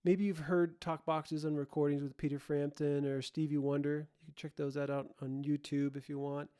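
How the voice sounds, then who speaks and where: Male gear-demo presenter: slightly high, clean resonant voice, casual explanatory delivery, self-correcting as he goes. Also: little pitch movement, moderate pace, mellow, clean tone. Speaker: presenter of a music gear comparison.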